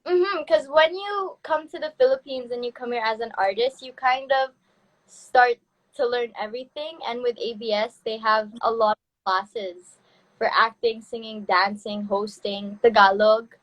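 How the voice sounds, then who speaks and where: A woman in her twenties hosting a casual phone livestream, talking in a relaxed, hesitant way with fillers and restarts. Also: on the high side, a little slow, rough recording. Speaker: livestream host. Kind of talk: casual live-stream chat. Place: home in the Philippines.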